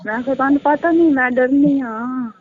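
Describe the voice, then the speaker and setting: A woman's voice: high-pitched, normal speed, slightly rough. A woman talking casually in a muffled, tinny phone call clip.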